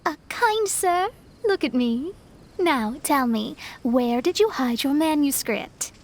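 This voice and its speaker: A woman's voice: sits high, animated, takes it slowly, a little hiss or hum, exaggerated voice. A woman voicing an earnest young female investigator in a video game dub, speaking in an articulate, explanatory way.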